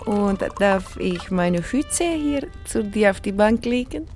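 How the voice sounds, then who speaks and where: A woman's voice: mid-range voice, expressive, a little mellow, faint music behind. An actress playing a playful, flirtatious Slovak woman biologist who teases and halts while searching for words. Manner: teasing, halting word searches.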